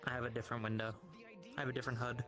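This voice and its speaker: A man's voice: mid-range voice, level tone, rapid-fire, music in the background. A man playing a foxtail druid healer, chatting casually in improvised roleplay in a virtual reality game. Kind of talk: casual in-character improvised chat.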